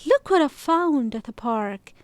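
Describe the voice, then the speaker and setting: Woman doing a bold excitable kid girl in a storybook, high n bouncy pitch, teasing best friend type. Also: unhurried, no room sound. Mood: eager. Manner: excitable, exclaiming.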